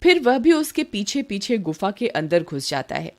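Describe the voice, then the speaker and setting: A woman's voice: mid-range voice, very animated, normal speed, a little mellow. A woman giving scripted narration of a story as its narrator.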